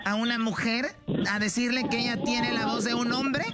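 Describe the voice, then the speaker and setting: Female radio host, high-pitched, live bantering delivery, playfully scolding, over a music bed. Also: steady tone, normal speed. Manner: teasing, scolding. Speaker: radio game show host.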